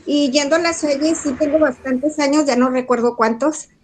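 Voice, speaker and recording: A woman's voice: high-pitched, fairly even intonation, moderate pace, darkish tone, a little hiss or hum. Older woman from Guadalajara, chatty student intro over video call. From Guadalajara, Mexico. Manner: chatty, rambling. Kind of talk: self-introduction in online class.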